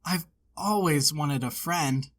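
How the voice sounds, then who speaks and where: A man's voice: fairly high pitch, takes it slowly, a bit bright. A man voicing a small, innocent stuffed bear in a storybook, speaking with earnest wonder. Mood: content. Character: small wistful wide-eyed stuffed toy bear.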